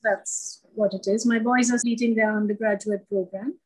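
A woman's voice: medium pitch, fairly even intonation, normal speed, slightly rough, poor audio. A middle-aged woman, from Sri Lanka and India, answering questions conversationally as an interview guest.

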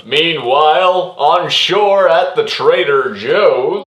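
A woman playing a slow, solemn narrator of an improvised radio mystery. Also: low-pitched, lively intonation, over music, pitched below the performer's own voice. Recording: echoey room with loud crowd.

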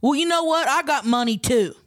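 A man plays a cocky new-money woman appearing as a contestant in a comedy dating show. The voice sits very high and is recorded dry. The delivery is blunt, crude and full of casual slang. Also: expressive, a little fast, a bit bright, no echo. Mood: defensive, boastful. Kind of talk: improvised comedy sketch.

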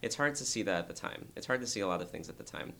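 A young man, a dancer, talking earnestly and thoughtfully in an interview, with a very low voice and frequent restarts. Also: animated, rapid-fire, creaky, pushed and bright. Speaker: dancer guest. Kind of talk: reflective interview talk.

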